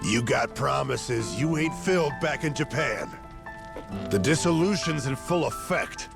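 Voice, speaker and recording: A man playing a blunt, hot-tempered veteran Yakuza in a video game, gravelly and confrontational. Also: medium pitch, lively intonation, a little slow, music in the background. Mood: scolding. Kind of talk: video game cutscene acting.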